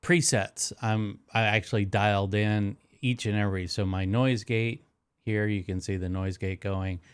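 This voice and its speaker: A man's voice: fairly low pitch, fairly even intonation, measured pace, clean tone. A man with a nasal voice demonstrating live streaming audio gear in his studio, talking casually into a close dynamic microphone with many fillers and restarts. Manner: rambling, self-correcting, with frequent fillers. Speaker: live streaming tech host. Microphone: close dynamic broadcast microphone.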